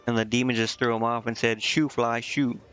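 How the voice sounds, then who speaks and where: A man's voice: medium pitch, flat delivery, fairly quick, a bit bright, clean tone, dull audio, soft background music. A man giving casual, off-the-cuff gameplay commentary as a streamer, slangy and irreverent. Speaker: video game streamer.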